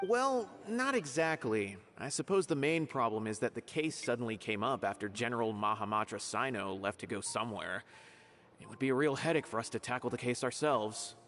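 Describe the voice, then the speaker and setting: A man's voice: mid-range voice, animated, a little fast, fairly bright tone, a little hiss or hum, lightly acted. An actor playing an earnest, worried academy investigator in scripted game dialogue, polite and formal as he explains. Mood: concerned, earnest. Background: game music behind the voice.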